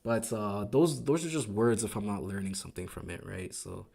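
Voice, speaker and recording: Trading streamer man, a bit raspy. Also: fairly low pitch, moderate pace, a little mellow. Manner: enthusiastic, repeats words, asks "right?" often. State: voice getting raspy. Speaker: day trading live stream host. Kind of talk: casual live stream market recap.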